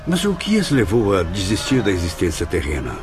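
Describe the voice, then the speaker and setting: An awed spirit who narrates his journey in a radio drama, speaking with emotive, exclamatory feeling. His pitch swings widely. Music plays clearly beneath his voice. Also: huge pitch swings, brisk. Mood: narrating. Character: awed male spirit visitor recounting. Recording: music bed under voice.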